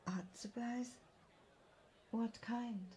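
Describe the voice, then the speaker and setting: A woman's voice: a little high, steady tone, drawn-out words, mellow. A woman playing a friendly, chatty young guide from another world in an audio roleplay. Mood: friendly, welcoming. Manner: chatty, welcoming, giggly. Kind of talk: roleplay audio drama addressed to the listener. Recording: clear background noise.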